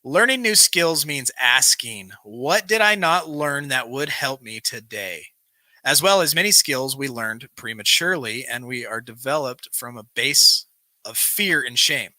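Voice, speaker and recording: A man's voice: medium pitch, sharp tone, no room sound. A man hosting a live recovery show, reading self-help material aloud and breaking off into informal, bantering asides.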